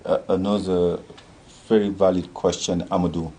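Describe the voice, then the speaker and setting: A man's voice: low voice, level tone, normal speed, warm tone, slight background noise. An older man from Senegal, a community organization leader, talking earnestly in a discussion show and rallying listeners with repeated phrases.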